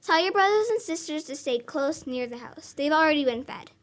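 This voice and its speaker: A woman's voice: high-pitched, expressive, fairly quick. A young girl playing a kindly farm grandmother in a school radio drama, telling things in an earnest storytelling way. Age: child, about 10.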